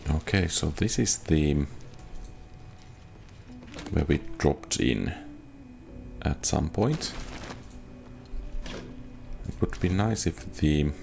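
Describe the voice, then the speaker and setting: A man doing casual, low-key let's play commentary, muttering his thoughts hesitantly as he plays. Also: extremely slow, creaky, pauses often, background noise. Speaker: gaming YouTuber.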